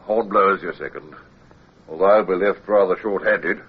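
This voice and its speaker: An actor playing a weary, crusty British warship captain in a radio drama, grumbling but authoritative. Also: male voice, deep voice, moderate pace, breathy edge. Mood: resigned, authoritative. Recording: muffled old radio recording.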